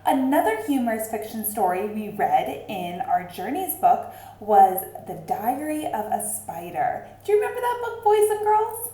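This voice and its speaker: Woman, high-pitched, mellow, lively storybook read-aloud for children, teacherly, echoey room. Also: unhurried, hardly any pauses. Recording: roomy, echoing sound.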